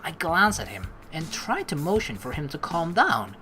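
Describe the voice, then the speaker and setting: A woman's voice: low-pitched, very animated, moderate pace, sharp tone. A young woman hosting a let's play, teasing and slangy as she mixes casual commentary with reading the game's narration aloud. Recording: faint game music behind the voice.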